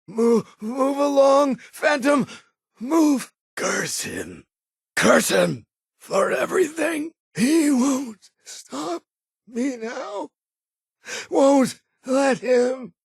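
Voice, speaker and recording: Tormented game figure, paranoid raving, gravelly rasp, wildly swinging pitch, halting fragments, dry sound. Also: very animated, dry recording, full character voice. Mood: frantic, anguished. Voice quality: raspy, creaky. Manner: broken, muttered fragments bursting into cries. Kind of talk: video game character dialogue.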